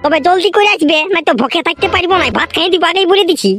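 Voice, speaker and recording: A man voicing a pushy, impatient village student in a comic cartoon, with a Purulia Bengali accent and a pitched-up voice. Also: fast talker, a bit bright, soft background music. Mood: insistent. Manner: demanding, rustic. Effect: pitch-shifted up. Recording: clearly noisy track with clicks.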